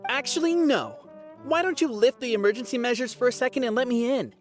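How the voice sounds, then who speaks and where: An actress playing an earnest, steady young companion character in a video game. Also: female voice, on the high side, fairly quick, soft background music, lightly acted. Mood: firm, earnest.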